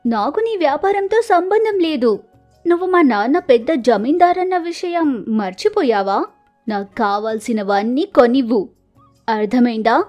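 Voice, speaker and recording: A spoiled rich wife in a village moral tale , voiced by a woman, speaks in a very high voice. She is haughty, whining and demanding, with music playing softly behind her. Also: expressive, a little slow. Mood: haughty, angry. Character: Sharada, spoiled demanding wife, zamindar's proud daughter.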